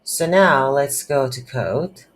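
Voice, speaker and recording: A game development instructor with non-native English talks slowly through an unscripted coding tutorial. The delivery is halting, thinking aloud at a medium pitch. Some faint noise and keyboard typing sit behind the voice. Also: lively intonation. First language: not English. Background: computer keyboard typing.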